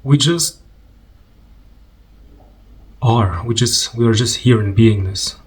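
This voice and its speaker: A man leading a guided meditation in an informal, conversational way, unhurried and gently instructing, with pauses. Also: medium pitch.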